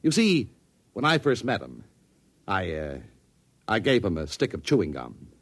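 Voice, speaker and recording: Glib fussy team owner, very lively intonation, dry close sound, genteel, old-time radio drama. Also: male voice, low voice, a little slow, breathy edge, no echo, heavily acted. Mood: smug explaining. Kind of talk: 1950s radio drama acting.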